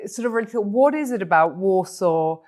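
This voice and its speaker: A woman's voice: mid-range voice, lively intonation, a little fast, darkish tone. A woman hosting an interview, asking encouraging, enthusiastic questions. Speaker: host.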